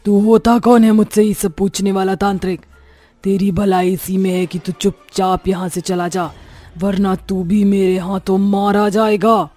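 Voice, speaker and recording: A woman playing a boastful, menacing man-eating witch in a horror story, taunting theatrically with drawn-out threats. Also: medium pitch, level tone, dark tone, faint music behind, lightly acted. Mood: angry, threatening. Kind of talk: dramatized Hindi horror story acting.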